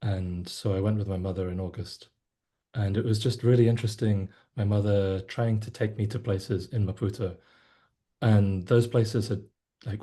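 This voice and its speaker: A man from Mozambique tells stories in a casual group discussion, with a dry sense of humor. His voice is low, mellow and a little husky, and it comes over a video call. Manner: wry storytelling, dry humor.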